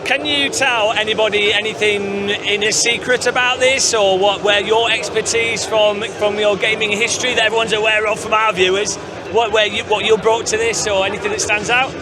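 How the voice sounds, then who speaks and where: Male host, casual upbeat walkthrough patter, fairly high voice, noisy convention hall. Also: fairly even intonation, normal speed. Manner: chatty, upbeat, lots of fillers. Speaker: gaming channel host. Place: busy gaming convention floor.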